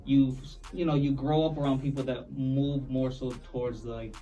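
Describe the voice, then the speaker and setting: A man's voice: medium pitch, flat delivery, moderate pace, warm tone, far from the mic, rough recording. A man with a slight New York accent on a podcast panel, speaking in an easygoing, reflective way with lots of fillers. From born overseas, raised in the US. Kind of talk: casual panel discussion.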